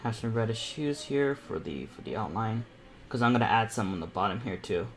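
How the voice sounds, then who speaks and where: A man's voice: lowish voice, animated, brisk, slight background noise. Eighteen-year-old guy chatting through a painting tutorial. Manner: informal, rambling, thinking aloud while painting. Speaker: self-taught artist hosting a painting panel. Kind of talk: casual live painting tutorial chat.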